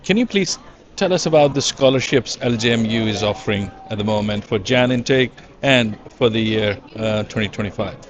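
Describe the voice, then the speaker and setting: A man interviewing university representatives, asking short questions slowly, with hesitations. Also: fairly low pitch. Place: busy education expo hall. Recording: crowd noise behind. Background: crowd chatter.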